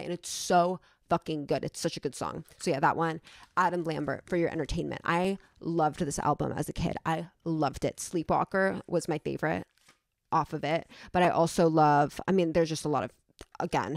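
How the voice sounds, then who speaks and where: A vlogger chatting casually to camera in a rambling, enthusiastic, casually sweary way, with a creaky voice. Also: medium pitch, dry recording, clean studio sound.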